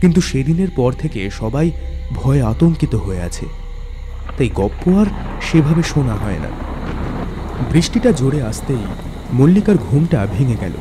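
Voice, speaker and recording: A man's voice: medium pitch, very animated, moderate pace, pauses often. Male horror storyteller over music. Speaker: storyteller, narrator. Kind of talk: dramatic scripted horror narration.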